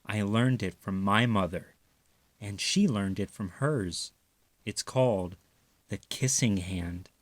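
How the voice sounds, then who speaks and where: A man voicing a gentle, reassuring mother raccoon in a tender, soothing manner for a children's storybook. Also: fairly low pitch, takes it slowly, no echo.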